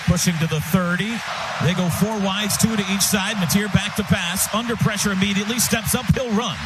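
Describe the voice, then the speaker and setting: High-pitched male football play-by-play announcer. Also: fairly quick, heavy background noise. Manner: excitable, urgent, punchy calls that swell to shouts. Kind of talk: live football radio commentary in short clipped phrases.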